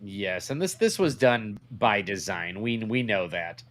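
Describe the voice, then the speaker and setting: A man's voice: lowish voice, big pitch swings, a little slow, a bit bright. A man co-hosting a podcast in a casual, opinionated conversational style. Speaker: podcast co-host.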